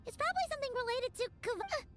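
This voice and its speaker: Squeaky, very high childlike fairy. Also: female voice, expressive, fast, a bit bright, soft background music. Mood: curious. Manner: whiny, speaks of herself by name. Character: small childlike floating fairy companion, excitable and petulant. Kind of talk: anime video game dialogue.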